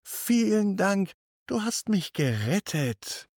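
A man voicing a small, sad little rabbit with a squeaky, piping voice in a bedtime story. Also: big pitch swings, takes it slowly, darkish tone, no echo, clean studio sound, heavily acted. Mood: grateful.

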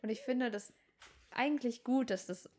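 A young woman from Germany co-hosting a casual podcast, chatty and quick to laugh, often acting out little quotes. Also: on the high side, darkish tone. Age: young adult. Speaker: podcast co-host. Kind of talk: casual chat.

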